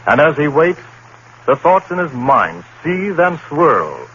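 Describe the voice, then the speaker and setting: A man's voice: a little high, very animated, slow, a bit bright. Ominous theatrical radio announcer, man. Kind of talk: dramatic 1930s radio introduction. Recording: dull old radio broadcast with hiss.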